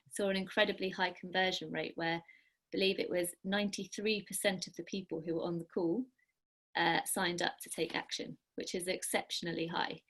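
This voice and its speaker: A woman presents at an online workshop. Her voice sits low with little movement in pitch, and she speaks earnestly, with the odd filler. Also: a bit bright.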